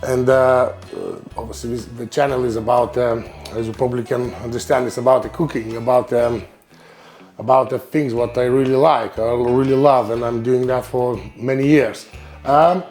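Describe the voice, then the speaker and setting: A man's voice: mid-range voice, steady tone, normal speed, a little mellow, soft background music. A man from Lithuania with a Lithuanian accent, hosting his cooking channel in English, which is not his first language, searching for words with many ums and restarts. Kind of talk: casual cooking vlog.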